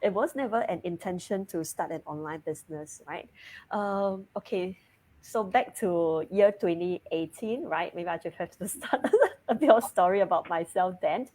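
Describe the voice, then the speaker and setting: A woman's voice: on the low side, moderate pace. Singaporean woman, laughing lightly as she talks. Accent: Singaporean English. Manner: laughs lightly mid-sentence, relaxed and open. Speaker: guest. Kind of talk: casual interview chat.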